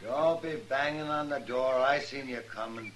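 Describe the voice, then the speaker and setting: A man's voice: medium pitch, moderate pace, lightly acted. A man playing a mean, stubborn old horse rancher in a radio western, with a defiant, folksy drawl and an American Western accent. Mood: gruff, suspicious. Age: older. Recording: old radio recording with noise.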